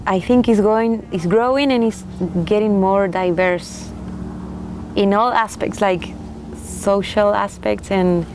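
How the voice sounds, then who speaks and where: Unhurried Chilean woman with soft laughs. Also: mid-range voice, fairly even intonation, noisy background. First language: Spanish. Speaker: winemaker, interviewee. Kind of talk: oral history interview.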